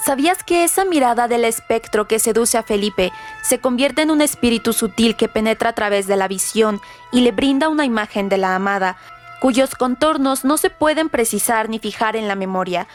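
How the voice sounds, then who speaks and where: A woman's voice: on the high side, normal speed, soft background music. A woman narrating scripted literary commentary in a formal, rhetorical manner.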